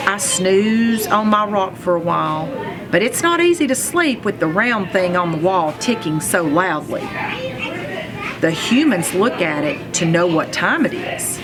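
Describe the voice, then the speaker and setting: A woman reading a children's storybook aloud in an expressive, animated storytelling manner, telling it as a first-person narrator. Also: lowish voice. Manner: animated storytelling with acted sound effects.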